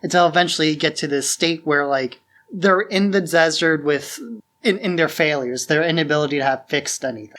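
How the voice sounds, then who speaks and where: Male podcast co-host with a slightly high voice, casual, thoughtful film analysis. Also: fairly quick, fairly bright tone.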